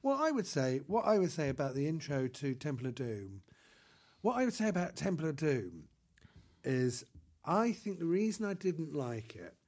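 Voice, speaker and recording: A man's voice: a little high, sing-song, normal speed, darkish tone. Rambling enthusiastic man talking films. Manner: rambling, enthusiastic, many fillers and restarts. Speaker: podcast co-host. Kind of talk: casual chat about films.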